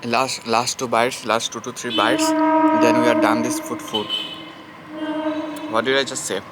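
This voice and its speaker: A man's voice: mid-range voice, little pitch movement, unhurried, heavy background noise. Chatty Bengali man vlogging outdoors. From India. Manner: rambling, repeats himself, chatty asides to viewers. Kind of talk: casual vlog while eating outdoors. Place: public place outdoors.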